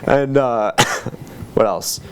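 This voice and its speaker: A man co-hosting a podcast, chatting casually about TV in a halting, rambling way. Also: medium pitch, slight background noise. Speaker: podcast co-host. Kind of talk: casual chat about TV shows.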